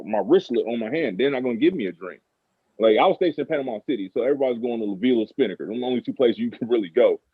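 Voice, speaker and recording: American man on a phone-like line, husky. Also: mid-range voice, no room sound. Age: 30s. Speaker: guest. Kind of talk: casual podcast storytelling. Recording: phone-like thin radio sound.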